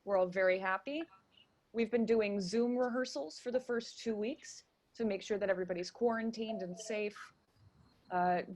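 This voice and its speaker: A woman's voice: medium pitch, a little mellow. A woman speaking as a guest in a radio interview, chatty and enthusiastic as she explains things. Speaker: interview guest, theater stage manager and director.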